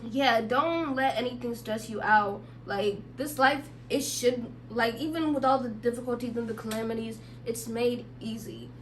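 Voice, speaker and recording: A woman's voice: fairly high pitch, normal speed, hardly any pauses. A woman podcast co-host in casual, joking chat, a little sick with a tickle in her throat. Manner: laid-back, joking, slangy. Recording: distant mic, faint hum.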